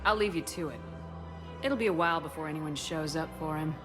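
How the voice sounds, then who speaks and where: A woman's voice: low-pitched, a little fast, natural voice. A woman playing a tough, composed military commander in a video game, direct and controlled. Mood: stern. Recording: music behind the voice.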